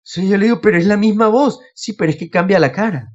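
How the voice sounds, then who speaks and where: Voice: medium pitch, fast talker, a little mellow, dull audio. A podcast host, chatting casually and telling anecdotes, often acting out what others said. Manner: acts out quoted remarks.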